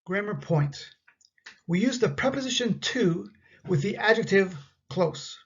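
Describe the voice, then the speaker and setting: A Canadian man with a husky, breathy voice reads out a grammar lesson for learners of English, spacing each example sentence out. The recording has a slightly dull, muffled sound. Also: sits high, lively intonation. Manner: slow, carefully enunciated example sentences. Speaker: English teacher.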